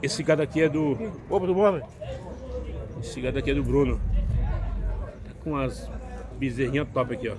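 A man's voice: medium pitch, fairly quick, long pauses. A man from Northeast Brazil walking around outdoor cattle pens, chatting to viewers and calling out prices on his phone camera. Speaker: vlogger showing a cattle market. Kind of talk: casual walk-around commentary. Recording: crowd noise of a busy market.

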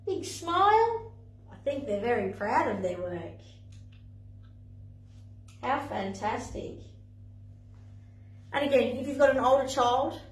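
A young woman with a slightly high voice tells a story for little children. She swoops in pitch and exclaims as she talks. She sounds a little distant, picked up in an echoey playroom. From Australia. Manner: animated, sing-song, exclamatory storytelling. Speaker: playgroup facilitator. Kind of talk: storytelling lesson for parents and toddlers. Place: indoor playroom, in front of a screen. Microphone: far camera. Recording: echoey room, voice from afar.

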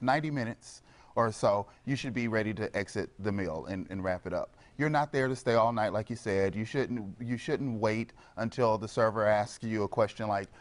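Casting: American male expert guest, slightly low voice, explanatory advice on a studio panel.

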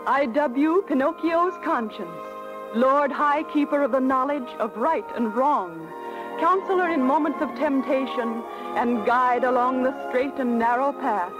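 A stately fairy speaks with gentle formality. Her delivery is gracious and measured, set on an old animated film soundtrack with loud music behind. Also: high-pitched, lo-fi sound. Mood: ceremonial. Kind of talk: vintage animated film acting.

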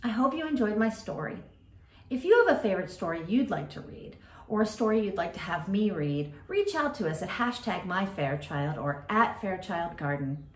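Expressive middle-aged woman reading aloud. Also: mid-range voice, slightly rough. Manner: expressive, deliberate storytime delivery. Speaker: story time host, garden educator. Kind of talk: read-aloud of a children's nonfiction picture book.

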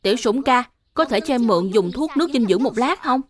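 A woman's voice: on the high side, animated, fast, close to an everyday voice. A woman voicing a helpful, earnest little boy in a dubbed children's cartoon, with a childlike manner. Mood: helpful, curious. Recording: music behind the voice.

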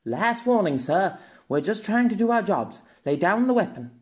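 A polite police officer, put on by a male narrator, pitched well up. The delivery is hesitant and coaxing, an audiobook character trying to calm things down. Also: normal speed. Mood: firm warning.

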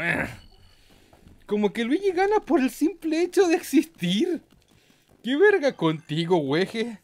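A man's voice: extremely high pitch, vocal fry. A man giving casual, slangy, mock-boastful gaming commentary with some swearing. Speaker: gameplay host.

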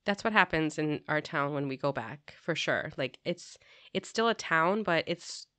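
A woman hosting a casual podcast interview, with a notably low-pitched voice. She asks easygoing questions and relates them to her own life. The sound is dry and close, with no room echo. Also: lively intonation, a little slow, a bit bright. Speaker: podcast host.

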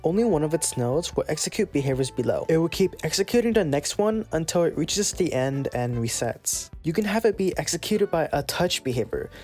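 A casual, playful host narrating a scripted software tutorial. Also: mid-range voice.

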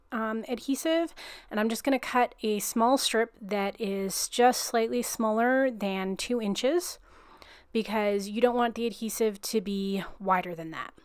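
A woman calmly narrating a craft tutorial at an unhurried pace. Her voice is smooth and clean, and it sits close and dry with no room sound. She keeps it casual and chatty, poking fun at herself now and then. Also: mid-range voice, no echo. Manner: relaxed, chatty, self-deprecating. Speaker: card-making tutorial host.

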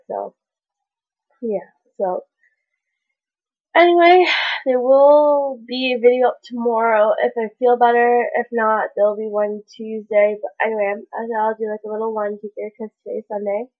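Young woman, congested, chatty and rambling, bedroom webcam, dull muffled audio. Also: medium pitch. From Canada. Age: young adult. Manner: chatty, rambling, many "like" fillers. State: congested, stuffy nose. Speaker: vlogger. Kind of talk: casual chat to camera. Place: small bedroom indoors. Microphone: webcam at desk distance.

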